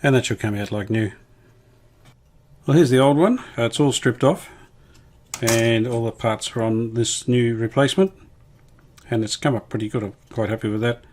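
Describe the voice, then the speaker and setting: A man casually talking through a hands-on repair demonstration, unhurried and matter-of-fact, thinking aloud as he goes. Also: mid-range voice, a little mellow. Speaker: hobbyist radio restorer presenting his video.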